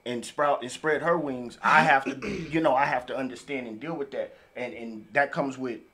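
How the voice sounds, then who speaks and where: A man's voice: mid-range voice, fairly even intonation, moderate pace, sharp tone. A man in a casual podcast discussion, speaking earnestly and emphatically and circling back over his points. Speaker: podcast panelist.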